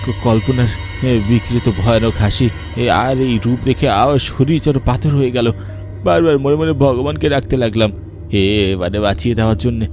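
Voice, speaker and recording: Mellow, dark-voiced frightened father played by a man. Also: mid-range voice, faint music behind, natural voice. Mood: terrified. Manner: dramatic, halting with fearful stammers. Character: uneasy, frightened father narrating his own ghost story. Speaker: narrator. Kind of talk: dramatic horror story narration.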